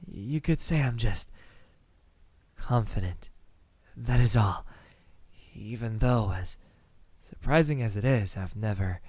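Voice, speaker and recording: A man playing a lonely, centuries-old vampire suitor in an ASMR roleplay, speaking softly and haltingly with tender words that slip into whispers. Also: mid-range voice, dry recording. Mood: shyly confident. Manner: soft-spoken, halting, tender, slipping into whispers.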